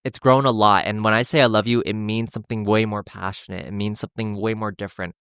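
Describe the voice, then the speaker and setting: A man's voice: fairly low pitch, fairly even intonation, fast talker, fairly bright tone, smooth, dry recording, clean studio sound. A young man hosting a podcast, chatting candidly and rambling about dating, with lots of restarts and "like" fillers. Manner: rambling and candid, heavy use of "like", with frequent restarts. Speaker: podcast host. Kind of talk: casual chat about dating.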